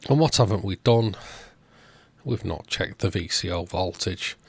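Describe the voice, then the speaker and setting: A man hosting a repair video, talking through the work step by step in short, unhurried phrases. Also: deep voice, animated, vocal fry, breathy edge. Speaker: host of electronics repair videos. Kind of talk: narrated repair walkthrough.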